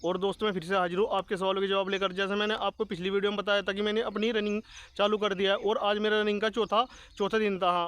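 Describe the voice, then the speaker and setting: A man's voice: high voice, a bit bright, clean tone. A young man giving insistent, repetitive advice straight to his viewers as a channel host. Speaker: YouTube exam-prep channel host. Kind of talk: direct-to-viewer advice monologue.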